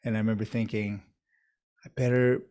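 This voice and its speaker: A man with a somewhat low voice answers interview questions as a doctor. He talks in a measured, reflective way, building his answers step by step. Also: level tone, mellow, clean tone. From United States, New York area. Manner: measured, thoughtful storytelling. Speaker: urologist guest.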